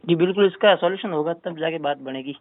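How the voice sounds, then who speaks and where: A man's voice: a little high, quick pace. Insistent Rajasthani man on a phone line. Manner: insistent, repeatedly arguing the point. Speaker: caller filing a complaint with a helpline. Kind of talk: phone complaint conversation. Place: telephone call. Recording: narrow-band phone call recording.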